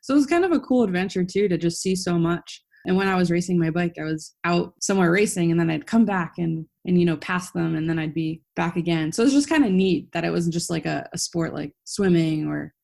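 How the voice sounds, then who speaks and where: A woman's voice: lowish voice, fairly quick, gravelly, few pauses, darkish tone. A young woman from Florida, a professional cyclist, answering interview questions in a chatty, upbeat way. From Florida, United States. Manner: chatty, upbeat, with quoted self-talk. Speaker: guest, professional track cyclist.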